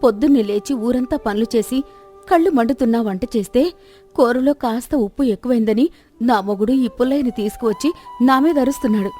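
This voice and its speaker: A woman voicing a village wife who scolds and argues quickly in a fairly high voice. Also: dark tone, faint music behind, lightly acted. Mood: scolding. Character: sharp-tongued village wife, defending her cooking.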